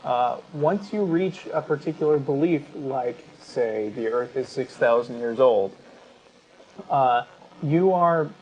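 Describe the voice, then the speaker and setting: A man with an American accent speaks as a talk show host, making an argument conversationally and often pausing mid-sentence. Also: mid-range voice, a little mellow, muffled recording, slight background noise.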